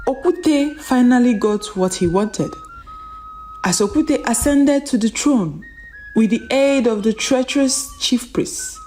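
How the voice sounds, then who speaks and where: A woman narrating a folktale in a dramatic, solemn and deliberate storytelling manner, repeating phrases for emphasis. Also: mid-range voice, a little mellow.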